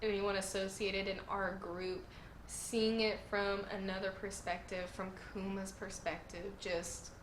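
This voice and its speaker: A woman's voice: medium pitch, flat delivery, a little slow, echoey room, slight background noise, low-quality recording. A woman hosting a casual video review, talking in an earnest, emotional, gushing way while her throat is sore and her voice sounds strained. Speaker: channel host reviewing manga chapters.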